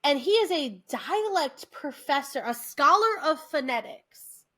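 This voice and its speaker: An American woman co-hosting a podcast, chatting casually, animated and quick to restart her sentences. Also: high-pitched. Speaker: podcast co-host. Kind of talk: casual chat.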